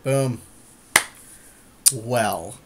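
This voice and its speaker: A man's voice: mid-range voice, extremely slow, background noise. A man co-hosting a podcast, giving casual card game commentary in informal, agreeable chatter with his partner. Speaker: podcast co-host.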